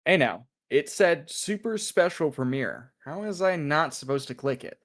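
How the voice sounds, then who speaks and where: A man's voice: on the high side, expressive, moderate pace, fairly bright tone, no echo, clean studio sound. A man playing an oblivious, defensive agent who glibly justifies himself in a script read-through. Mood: sheepish, defensive.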